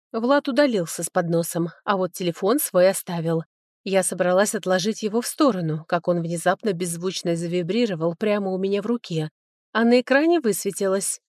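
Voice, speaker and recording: A woman's voice: mid-range voice, a little mellow, no room sound, clean studio sound. A woman reading a first-person story aloud as an audiobook narrator, in a scripted storytelling style.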